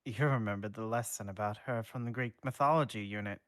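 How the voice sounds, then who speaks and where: A man voicing a stern but caring schoolteacher in a fan fiction reading, slow, formal and measured. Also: lowish voice, fairly bright tone, no echo, clean studio sound, close to an everyday voice. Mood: pleased.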